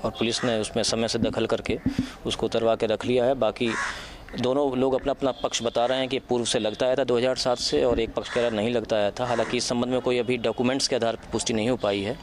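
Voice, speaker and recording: A man's voice: medium pitch, little pitch movement, fast. A man giving a formal, bureaucratic official statement to the press. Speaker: local administrative official. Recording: clear outdoor background noise.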